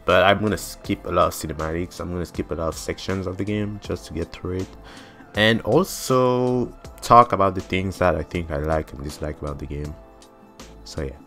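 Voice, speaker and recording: A man's voice: deep voice, fairly even intonation, normal speed, fairly bright tone, faint music behind. A man in his twenties, a native French speaker with a French accent, giving casual, blunt gameplay commentary and swearing freely. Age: 20s. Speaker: gamer.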